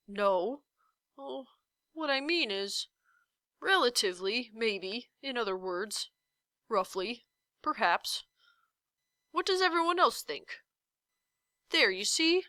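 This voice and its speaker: A woman's voice: on the high side, animated, takes it slowly, pauses often, sharp tone, dry recording, voice pushed up, exaggerated voice. A woman voicing a huge gelatinous giant in a children's storybook, blustering and ferocious yet timid and hesitant. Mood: flustered, peevish. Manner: blustering, hesitant, hedging every statement. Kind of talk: children's storybook read-aloud.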